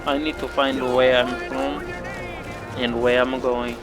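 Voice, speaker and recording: A man's voice: medium pitch, level tone, unhurried. A man casually commenting on a game he is playing, chatting to his viewers while coming down with flu. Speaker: gameplay channel host. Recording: game music under voice.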